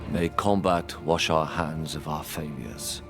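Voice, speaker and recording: An actor playing a grave, remorseful warrior in a fantasy video game, speaking in a measured, prayerful way. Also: male voice, extremely low pitch, steady tone, exaggerated voice. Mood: solemn. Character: solemn, grave male warrior, remorseful comrade. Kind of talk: dramatic video game acting. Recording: music behind the voice. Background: clear music.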